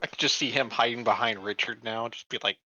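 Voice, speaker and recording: A man's voice: medium pitch, fairly even intonation, fast, bright, forceful tone, muffled recording, a little hiss or hum. A man talking casually as a player in a tabletop game.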